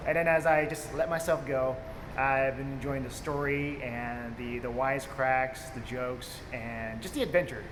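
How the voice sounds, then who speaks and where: A man's voice: medium pitch, fairly even intonation, normal speed. A man co-hosting a casual, unscripted movie review, talking in a rambling, informal, enthusiastic fan's way. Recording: echoey reverberant room, clear background noise.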